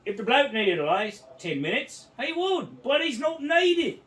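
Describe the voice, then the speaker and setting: Man playing a laddish British bloke with a put-on accent: high, bouncy, off-mic. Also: sing-song, unhurried, fairly bright tone, distant mic, heavily acted. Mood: cheeky. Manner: cheeky, mocking, laddish banter. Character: crude boastful British bloke, adult man. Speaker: podcast co-host. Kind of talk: improvised comedy bit.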